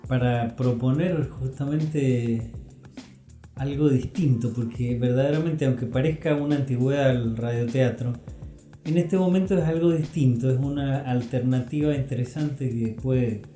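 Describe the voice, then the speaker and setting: An Argentine man co-hosting a casual podcast chat, phrasing his thoughts in a halting, thoughtful way. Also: medium pitch, dark tone. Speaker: podcast co-host. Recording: roomy sound with music.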